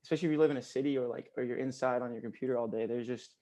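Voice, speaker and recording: A man's voice: medium pitch, steady tone, rapid-fire, mellow. A man in his twenties, a guest on a podcast, chatting casually with frequent laughs and lots of "like" fillers. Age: 20s. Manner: laughs often, many "like" fillers. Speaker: podcast guest, pro paintball player.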